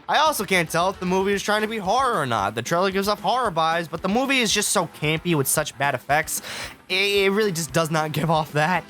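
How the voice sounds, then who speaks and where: A man with a slightly high voice delivers casual review commentary over background music. His intonation swings widely as he gripes with exasperated sarcasm. He swears freely and talks like an online video host. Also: a bit bright.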